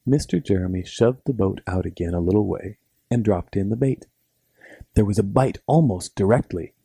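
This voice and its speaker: Male storyteller for children's bedtime tales: low, gravelly, scripted narration, recorded dry. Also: moderate pace, warm tone, dry recording.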